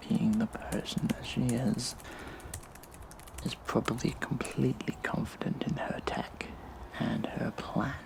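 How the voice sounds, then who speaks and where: An actor playing a smug, vengeful hacktivist in an audio drama, speaking in a breathy, sinister, mock-casual hush. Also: male voice, mid-range voice, noisy background. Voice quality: breathy, whispery, gravelly creak. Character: vengeful gleeful hacktivist vigilante man. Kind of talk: audio drama monologue, addressed to viewers.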